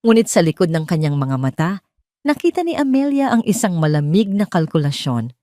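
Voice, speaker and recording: A woman narrates a scripted drama. Her voice sits low, and the recording is close and dry, with no room sound. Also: sing-song, fairly quick, warm tone, dry recording.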